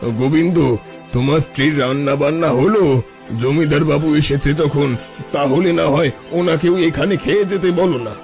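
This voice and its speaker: A man's voice: fairly high pitch, normal speed, darkish tone, natural voice. An actor voicing a sly, self-important fake holy man in a cartoon story. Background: background music.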